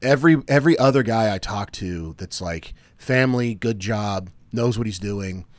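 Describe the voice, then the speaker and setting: A man in his thirties hosting a casual podcast chat, often restarting his sentences and trailing off quietly. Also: on the low side, moderate pace, no room sound. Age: 30s. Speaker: podcast host.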